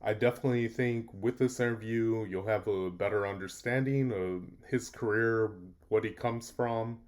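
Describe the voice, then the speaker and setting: Low-voiced podcast host. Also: male voice, normal speed, a little mellow. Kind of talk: channel intro and interview questions.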